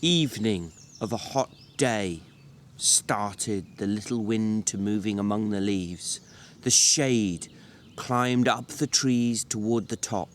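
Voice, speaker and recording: A man narrating a novel as a dramatic literary reading, with measured, deliberate phrasing. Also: fairly low pitch, slight background noise.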